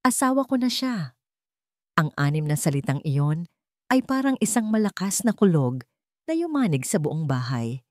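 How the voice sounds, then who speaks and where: Female narrator, lowish register, scripted dramatic storytelling, very dry sound. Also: sing-song, normal speed, a little mellow, no echo.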